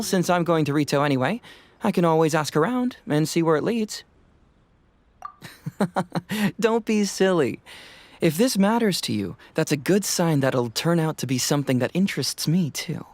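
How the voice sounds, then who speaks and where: A man voicing a breezy, self-assured young detective in a video game, with a playful, knowing and teasing manner. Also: on the high side. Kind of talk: video game cutscene dialogue. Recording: music under the voice.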